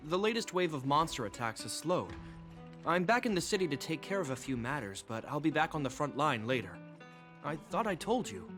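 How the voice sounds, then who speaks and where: An actor playing a dutiful, earnest younger brother and military officer in a video game, measured, formal and polite. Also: male voice, a little high, very animated. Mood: businesslike. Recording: music clearly behind the voice.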